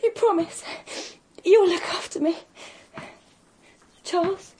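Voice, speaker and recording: A woman's voice: very high-pitched, takes it slowly, very noisy. An actress playing a distraught, needy and resentful accused woman in a radio drama, pleading and emotional.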